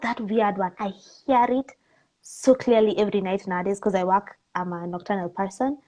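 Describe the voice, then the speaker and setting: A woman with a Kenyan accent talking casually as an interview guest, candid, expressive and informal in how she puts things. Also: mid-range voice, moderate pace.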